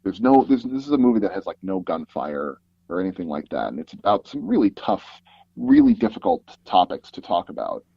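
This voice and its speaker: A man with a fairly low voice chats casually as an interview guest. He rambles through his stories, restarting phrases as he goes. The sound is very dry, close and a little dull, as from a foam-padded closet booth. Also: fairly quick, creaky, breathy edge, dry recording. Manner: rambling, animated storytelling with frequent "like" and repeated false starts. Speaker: interview guest, sound designer and audio drama producer.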